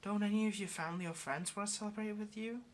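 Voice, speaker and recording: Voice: medium pitch, steady tone, rapid-fire, a little hiss or hum, lightly acted. A performer playing a lonely, pleading, affectionate caged demon in an intimate ASMR roleplay, speaking in a hesitant, coaxing way. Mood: grateful, gentle. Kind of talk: ASMR roleplay, intimate one-sided conversation.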